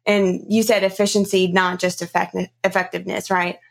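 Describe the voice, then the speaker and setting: A woman's voice: medium pitch, flat delivery, normal speed, creaky. A woman hosting a podcast interview in a casual, effusive way, repeating words for emphasis. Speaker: podcast host.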